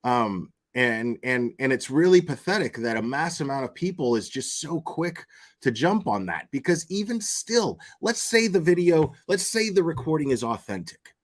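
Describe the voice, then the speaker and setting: A man's voice: fairly high pitch, big pitch swings, normal speed. A man giving opinionated, off-the-cuff online commentary, repeating words for emphasis and often checking in with the listener. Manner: emphatic, checks with "okay?" and "right?". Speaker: commentary vlogger.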